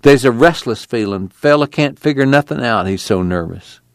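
A man voicing an older, worried farmer father who muses in a slow drawl. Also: mid-range voice, lively intonation, dry recording, voice pushed up, lightly acted. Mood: musing.

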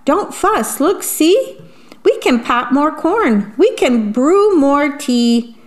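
A woman voicing a tiny, squeaky male mouse in a read-aloud picture-book story. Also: big pitch swings, slow pace, heavily acted. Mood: soothing. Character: tiny fretful male mouse comforting a bear.

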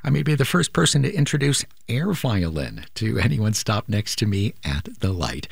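Low-voiced man making a radio appeal. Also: very animated, moderate pace, creaky, breathy edge, no room sound. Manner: earnest, persuasive. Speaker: radio host. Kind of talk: pledge drive appeal.

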